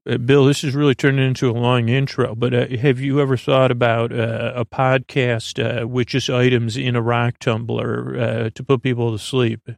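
A man with a gravelly, fry-heavy voice hosts a sleep podcast. He meanders through improvised monologue, halting and repeating himself. The sound is close and dry, and his pitch sits in the middle of the male range. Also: level tone, dry recording. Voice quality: gravelly creak. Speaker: podcast host.

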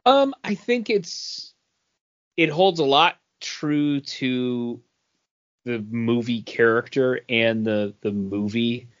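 Male podcast co-host, casual, halting delivery, dull lo-fi sound. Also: mid-range voice. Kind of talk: casual chat. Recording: dull lo-fi audio.

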